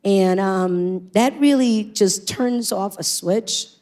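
A woman's voice: mid-range voice, slow pace, a little mellow. A middle-aged woman with a Puerto Rican accent, a native Spanish speaker, sharing her personal testimony in an earnest, reflective way. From Puerto Rico. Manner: earnest, reflective, with frequent ums. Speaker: church member sharing testimony.

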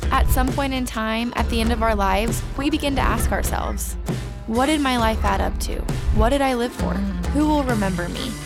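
A woman's voice: on the high side, moderate pace. A woman delivering scripted narration as the announcer of an intro piece. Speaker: announcer in a sermon series intro. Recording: music under the voice. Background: music.

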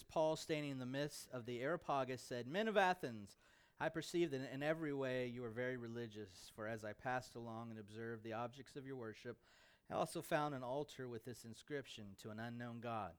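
An American man, a pastor, speaking informally to his church about a mission trip in an animated, conversational storytelling way. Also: mid-range voice, clean tone.

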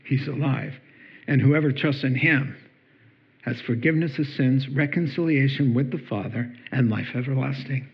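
A man preaching a sermon in an animated, jokey, conversational way. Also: medium pitch, moderate pace, creaky, slightly rough, dull audio. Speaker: pastor.

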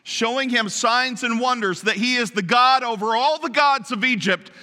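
Loud preacher guy, high sharp voice, keeps asking the crowd questions, big church reverb. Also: moderate pace. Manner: naturally loud, emphatic, asks the congregation many questions. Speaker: pastor. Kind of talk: sermon. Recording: long reverb of a large hall.

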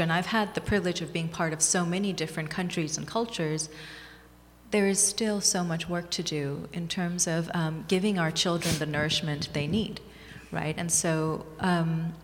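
A woman author from Bangladesh answers interview questions in a measured, earnest, reflective way. Her voice sits on the low side for a woman. A long reverb trails her words around the hall. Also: steady tone, a little hiss or hum. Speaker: author and guest. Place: small community gathering room.

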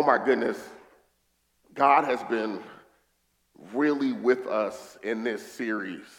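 A hoarse man preaching a sermon as a pastor, with stretches of quieter, hushed prayer into a stage microphone. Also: mid-range voice. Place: church hall. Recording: echoey hall with faint guitar music.

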